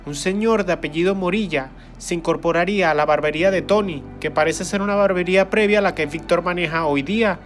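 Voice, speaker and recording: A man reading scripted documentary narration in an even, informative way. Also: high voice, a little fast, few pauses. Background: faint music.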